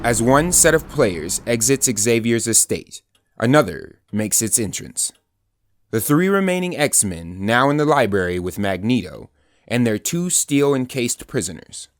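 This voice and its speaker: A man's voice: mid-range voice, sing-song, unhurried, dry recording. A man narrating superhero action in an audio drama, in a scripted dramatic storytelling style. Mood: dramatic narration.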